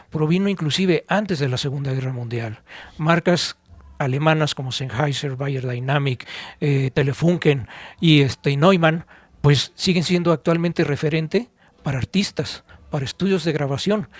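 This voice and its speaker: A man with a Mexican accent explaining things informally on a podcast, didactic, pausing and posing rhetorical questions. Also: fairly high pitch, dry recording. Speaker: podcast co-host and audio expert.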